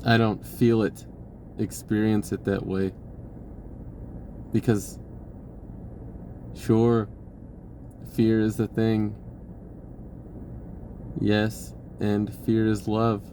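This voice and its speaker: A man giving an unhurried, reflective spiritual talk with many pauses, his voice lifting when he acts out an inner dialogue. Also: fairly low pitch, little pitch movement, warm tone, slight background noise. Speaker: spiritual video host.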